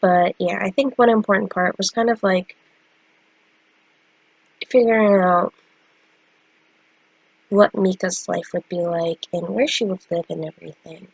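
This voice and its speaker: A woman's voice: mid-range voice, fairly even intonation, a little slow, vocal fry, long pauses, breathy edge, dry recording, highs cut off. A young woman with a stuffy, cold-congested voice, chatting casually about her drawings with many fillers. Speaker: artist vlogger. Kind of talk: casual chat.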